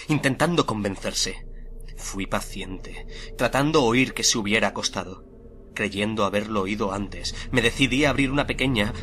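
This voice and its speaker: An actor playing a nervous, obsessive murderer confessing his crime in a theatrical, deliberate radio drama monologue. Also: medium pitch, close to an everyday voice. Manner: theatrical, deliberate, swelling from hushed to frenzied. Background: music under the voice.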